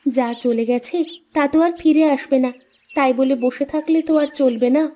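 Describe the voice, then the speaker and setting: A woman's voice: high-pitched, moderate pace, mellow, close to an everyday voice. A woman voicing a practical, encouraging village housewife in a cartoon moral story, with an earnest, coaxing delivery. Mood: consoling.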